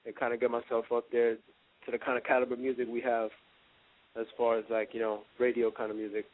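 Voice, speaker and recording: A man's voice: medium pitch, monotone, fairly quick. A man in his early twenties from the Dominican Republic, a rapper chatting casually as an interview guest over a phone line. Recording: tinny, narrow phone line.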